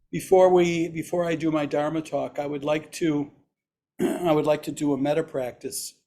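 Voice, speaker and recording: A man with a raspy, hoarse voice leading a Buddhist service online, slowly intoning chants and gentle instructions. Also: fairly high pitch. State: hoarse after surgery. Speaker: Buddhist teacher leading practice. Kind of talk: leading a chanted metta practice.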